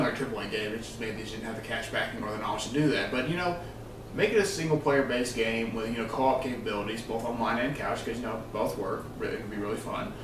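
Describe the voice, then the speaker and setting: A man chatting casually and unscripted about his opinions as a video co-host, rambling and emphatic. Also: medium pitch. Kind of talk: casual unscripted gaming discussion. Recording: roomy, distant mic, faint noise.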